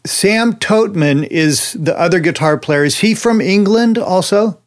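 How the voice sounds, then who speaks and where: A man's voice: sits high, measured pace. Upbeat male interview host. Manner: enthusiastic, friendly, frequent laughs.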